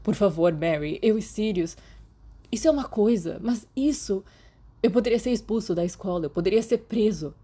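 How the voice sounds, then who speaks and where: A woman with a Brazilian Portuguese accent voicing a shy, anxious teenage boy who speaks quietly. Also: mid-range voice, normal speed, husky, lightly acted. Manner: quiet, hesitant.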